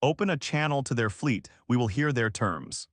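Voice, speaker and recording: A man's voice: mid-range voice, animated, normal speed, a bit bright, dry recording, studio quality, lightly acted. A man reading as a stern female alien leader in a science fiction story, cold, formal and commanding. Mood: grave. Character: stern, imperious female alien high counselor.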